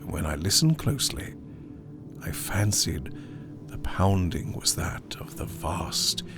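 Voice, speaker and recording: A man performing a haunted survivor in a dramatic gothic horror audiobook, grave and theatrical, with hushed near-whispered phrases. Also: low voice, very animated, slow pace, exaggerated voice. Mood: uneasy, intense. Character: haunted male survivor of an opium overdose, recounting horror. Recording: studio narration over music.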